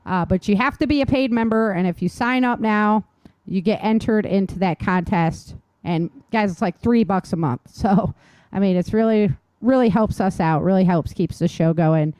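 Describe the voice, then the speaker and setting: A woman's voice: medium pitch, moderate pace, darkish tone, clear, resonant tone, no echo. A woman co-hosting a show in a casual, chatty way, with an informal and enthusiastic manner. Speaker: podcast co-host and novelist. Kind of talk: casual chat.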